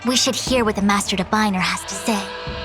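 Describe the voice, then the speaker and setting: A woman's voice: medium pitch, brisk, over music, natural voice. A woman voicing a composed, proud and learned master diviner in a video game, speaking in a formal, wordy, pompous way. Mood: calm, instructive. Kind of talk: scripted video game voice acting.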